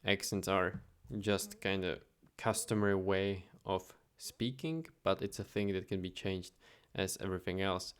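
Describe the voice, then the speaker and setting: A young man casually talking through his daily business update while reading viewer questions, chatty and relaxed, thinking aloud. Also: sits low, measured pace, hardly any pauses, clean tone. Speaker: automation agency owner vlogging. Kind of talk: casual screen-share vlog.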